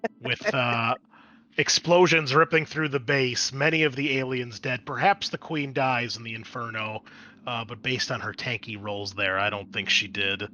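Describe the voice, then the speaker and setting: A man with a bright, forward voice acts as game master for a tabletop roleplaying session. He sets scenes dramatically, then drops into casual asides, with faint music behind him. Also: medium pitch, normal speed, muffled recording.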